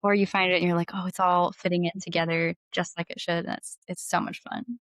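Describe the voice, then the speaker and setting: A woman's voice: lowish voice, little pitch movement, fairly quick, a bit bright, no room sound. A woman who is a singer-songwriter guest, chatting casually and enthusiastically in an interview, often saying "like". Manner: enthusiastic, informal, frequent "like".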